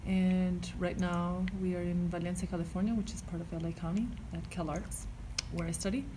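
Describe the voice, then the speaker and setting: A woman's voice: lowish voice, little pitch movement, a little fast, mellow, a little hiss or hum. A woman from Albania, a student giving plain, matter-of-fact interview answers.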